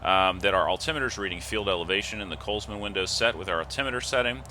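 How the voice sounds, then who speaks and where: A man's voice: lowish voice, fast, bright, forceful tone, slight background noise. A man giving methodical, instructional step-by-step flight simulator commentary, reading off checklist items. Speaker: host of a flight simulator video series.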